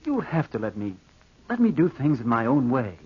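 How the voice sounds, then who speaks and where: An actor playing a secretive young nephew in a 1940s radio drama, theatrical and halting, repeating phrases as if confessing. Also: male voice, medium pitch, big pitch swings, husky. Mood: defensive, pleading. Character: brooding, secretive young nephew, devoted heir narrating his past. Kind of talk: 1940s radio acting, confessional narration and dialogue. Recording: dull vintage radio drama recording.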